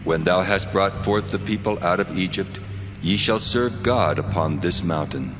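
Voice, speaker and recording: A solemn, almighty God for a dramatized scripture recording. The voice is very low and commanding, declaiming in long cavernous reverb over clear background music. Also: male voice, measured pace. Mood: solemn, resolute. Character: God, solemn commanding male voice from burning bush. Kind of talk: dramatized audio Bible.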